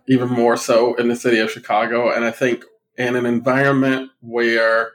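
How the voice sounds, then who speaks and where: Measured man co-hosting a podcast. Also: medium pitch. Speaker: podcast co-host, reverend. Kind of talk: casual podcast chat.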